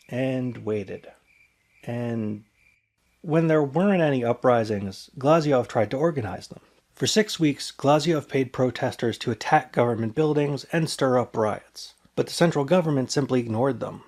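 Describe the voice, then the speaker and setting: A man's voice: mid-range voice, a little slow, a little mellow. A man presenting an explainer in scripted narration.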